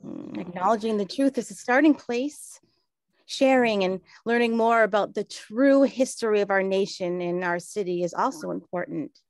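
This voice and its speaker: An earnest woman scholar in a radio drama, played by an actress, with a middling pitch. She is measured and instructive, in a dry recording with no room sound. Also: darkish tone, no room sound, natural voice, rough recording. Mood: grave, earnest.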